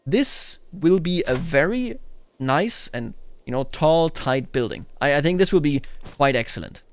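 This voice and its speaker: A man's voice: a little high, expressive, unhurried, smooth. A man hosting a gaming video, musing aloud expressively as he mixes casual commentary with reading story text.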